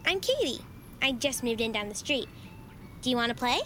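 A high, very animated little-girl voice in an audio drama. She is a strange, pushy child who coaxes and insists. Clear background noise surrounds her. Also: moderate pace. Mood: coaxing. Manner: insistent, coaxing. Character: strange little girl, pushy, pleading, eerie new neighbor. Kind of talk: immersive audio drama acting.

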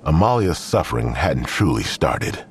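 Male narrator, bass register, grave literary storytelling for scripted drama, expressive intonation. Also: normal speed, slight background noise.

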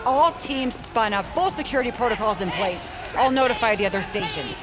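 An actress playing a short-tempered doctor in charge of a facility, commanding and exasperated, in an audio drama. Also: female voice, fairly high pitch, animated, a little fast, over a noisy crowd, lightly acted. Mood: commanding, irate.

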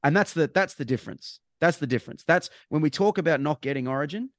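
A man's voice: fairly high pitch, quick pace, no echo, clean studio sound. A man with an Australian accent chatting casually as a podcast co-host, rambling and restarting his phrases, with bursts of emphasis. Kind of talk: casual sports chat.

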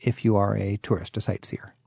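A male host with a mellow, gravelly voice asks eager, curious questions. The recording is dry and close, with no room sound. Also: fairly low pitch, level tone, fairly quick, no echo. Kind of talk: travel interview.

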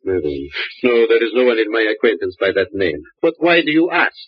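A suave, evasive shop owner. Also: male voice, fairly low pitch, big pitch swings, a little fast, no room sound. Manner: smooth, polite, formal evasive phrasing. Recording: muffled old radio broadcast.

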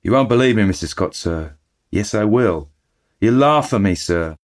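A man voicing a young Cockney bellboy who talks in rough working-class speech, full of sirs. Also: lowish voice, lively intonation, normal speed, voice pushed up, natural voice. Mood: uneasy. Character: young Cockney bellboy, plain-spoken and earnest.

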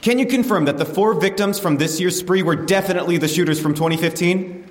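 An actor playing a pushy reporter who asks blunt, probing questions at a press conference in an audio drama. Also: male voice, high voice, quick pace, natural voice. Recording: distant voice in an echoey room.

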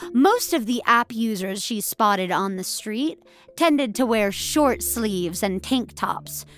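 Slow, measured woman narrating fiction. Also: mid-range voice. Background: music.

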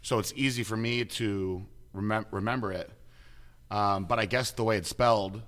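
A man's voice: on the low side, steady tone, normal speed, a bit bright. A man hosting a casual interview, upbeat and chatty with frequent restarts. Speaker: host.

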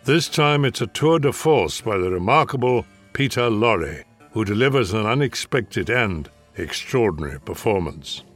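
An older man hosting a mystery radio show with a measured, deliberate delivery. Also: fairly low pitch, animated, faint music behind. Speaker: host. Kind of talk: scripted introduction.

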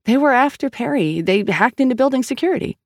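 A narrator voicing a tense, stubborn woman police detective, pitched up and insistent, in an audiobook. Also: animated, quick pace, no echo, clean studio sound. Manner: insistent and agitated. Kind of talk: audiobook character voice.

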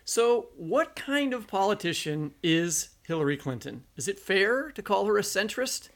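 Male American podcast co-host, fairly high voice with plenty of fry, conversational delivery. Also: sing-song, measured pace.

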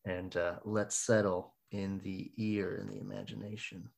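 A man with a very low, gravelly voice introduces poets at a reading. His talk is husky and loose, full of fillers and restarts. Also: level tone. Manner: chatty, halting, many fillers and restarts. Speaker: open mic host. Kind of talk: casual hosting of a poetry reading.

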